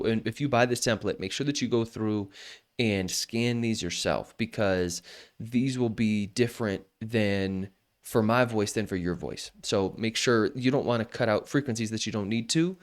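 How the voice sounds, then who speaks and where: A man teaching vocal mixing in a casual, loose tutorial from a home studio, often restarting his sentences as he explains. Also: on the low side. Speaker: mixing instructor. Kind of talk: casual screen-share tutorial.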